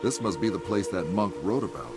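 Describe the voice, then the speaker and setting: Here is a flippant, brash adventurer from a video game. His voice sits fairly low but swings with lively, wry expression. Music runs loud underneath. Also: a little fast. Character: wisecracking, brash male treasure-hunting adventurer. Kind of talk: video game cutscene dialogue and narration.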